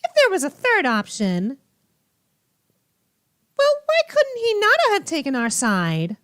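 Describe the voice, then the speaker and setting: Theatrical gamer girl, woman w high voice thats super animated, teasing and sassy, slow-ish delivery. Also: no echo, clean studio sound. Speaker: streamer reacting to visual novels. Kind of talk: playful gaming commentary with dialogue read aloud.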